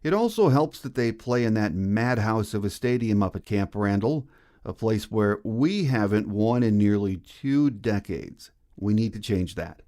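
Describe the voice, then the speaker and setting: Low-voiced American sports podcast host. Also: male voice, animated, unhurried, warm tone. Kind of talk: scripted sports commentary and introduction.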